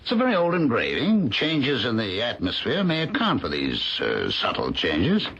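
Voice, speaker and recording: A man playing a courteous, skeptical family friend in a vintage radio drama, speaking emphatically and haltingly. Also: medium pitch, wildly swooping pitch, fairly bright tone, husky, heavily acted. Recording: dull, lo-fi old radio recording.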